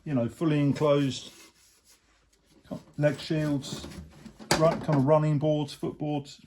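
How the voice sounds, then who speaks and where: Relaxed man talking through a repair. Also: a little high, slow pace, noisy background. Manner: relaxed, explanatory, many fillers. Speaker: motorcycle restorer, channel host. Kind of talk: casual workshop talk-through. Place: workshop or shed.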